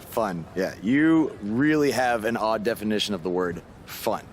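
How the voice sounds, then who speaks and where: A man's voice: lowish voice, a little slow, a little hiss or hum, natural voice. An actor playing a weary, sceptical young male wrestler in a video game, with a dry, hesitant, unimpressed manner. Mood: wry, uneasy. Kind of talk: video game cutscene acting.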